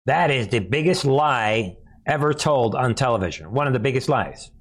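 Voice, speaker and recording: A man's voice: medium pitch, expressive, moderate pace. An American man hosting live political commentary, speaking emphatically and derisively and repeating phrases for effect. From United States. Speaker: news commentary show host.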